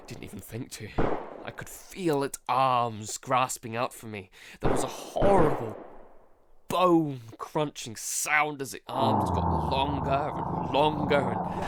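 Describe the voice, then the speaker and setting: A man playing a frightened, traumatised survivor in a halting, dramatic audio-drama monologue. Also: medium pitch, fairly bright tone, slight background noise. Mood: shaken, breathless dread. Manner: halting, stammering, broken by sighs. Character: frightened, traumatised male survivor keeping a monster-apocalypse audio diary.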